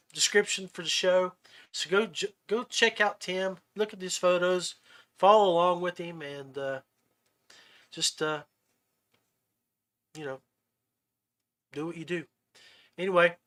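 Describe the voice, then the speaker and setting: Male podcast host, high-pitched, bright forceful voice, casual, folksy, buddy-like. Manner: folksy, buddy-like, says brother and man. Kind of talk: casual chat.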